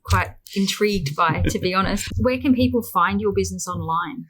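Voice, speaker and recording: A woman hosting a podcast, chatting casually and informally as she interviews, with tentative, softened phrasing. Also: medium pitch, normal speed, husky, rough recording. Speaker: podcast host.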